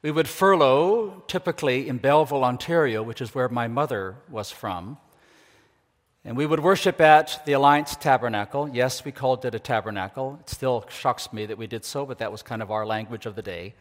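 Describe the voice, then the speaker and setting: An older man who grew up in Ecuador, a guest preacher giving an earnest, measured sermon to a church congregation. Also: mid-range voice. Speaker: guest preacher, university leader. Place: church sanctuary. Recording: long reverb of a large hall.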